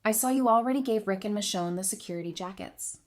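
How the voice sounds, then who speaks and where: Woman acting a perceptive advisor lady, audio drama, frank and thoughtful, medium pitch. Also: fast talker, natural voice. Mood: frank, considered. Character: perceptive, candid woman advisor who reads people. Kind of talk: fan audio drama dialogue.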